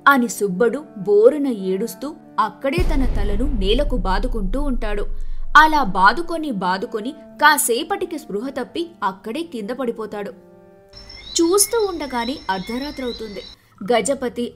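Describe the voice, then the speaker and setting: High, bright woman storyteller. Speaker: storyteller, narrator. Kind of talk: scripted moral-story narration.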